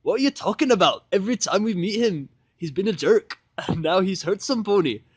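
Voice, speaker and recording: A man voicing a brash, hostile young female pony, loud and accusing, in an audio fan-fiction reading. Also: high-pitched, lively intonation, moderate pace, breathy edge, pitched above the performer's own voice. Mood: indignant.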